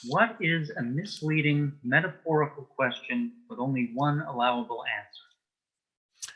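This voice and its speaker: A man speaking as an interview guest in casual chat, telling jokes and anecdotes in a dry, measured way. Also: medium pitch, breathy edge, dull audio.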